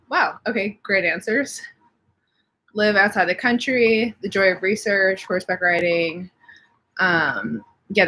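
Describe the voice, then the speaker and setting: A woman's voice: medium pitch, bright, forceful tone, rough recording. A young woman with slightly sniffly allergies, chatting casually from home on a live stream and reading out viewer comments. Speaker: livestream host.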